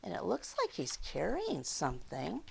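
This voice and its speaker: A woman reading a picture book aloud to young children, expressive and dramatic, with questions and hushed asides to the listeners. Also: on the low side, normal speed, vocal fry, noisy background. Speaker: children's storyteller.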